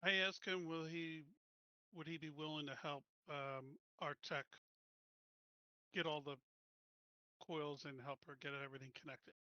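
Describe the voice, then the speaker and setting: A man's voice: on the high side, fairly even intonation, unhurried, pauses often, sharp tone, no room sound. A man playing a tabletop game, laying out a plan decisively to the group. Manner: decisive, lays out plans. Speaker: player. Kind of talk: casual tabletop play. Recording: dull lo-fi audio.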